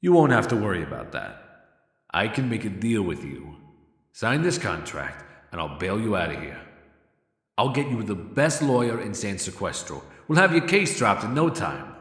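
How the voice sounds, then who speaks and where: A man playing a pragmatic, pushy mercenary company boss in an audio drama, with a husky voice and a brusque, businesslike manner. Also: on the low side, big pitch swings, normal speed, fairly bright tone, exaggerated voice. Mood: confident, persuasive. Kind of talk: cinematic audio roleplay acting.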